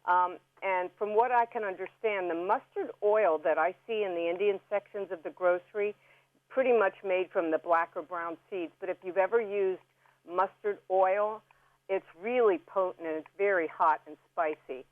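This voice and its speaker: A woman's voice: medium pitch, moderate pace, no room sound. A woman guest on a radio interview, explaining food and plants in a chatty, instructive way. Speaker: guest food and herb expert. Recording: phone-like narrow band radio call.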